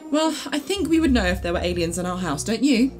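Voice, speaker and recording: A woman playing a sensible, exasperated young householder in an audio drama, brisk and argumentative. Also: medium pitch, very animated, over music, close to an everyday voice. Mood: exasperated, firm. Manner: brisk, argumentative, matter-of-fact.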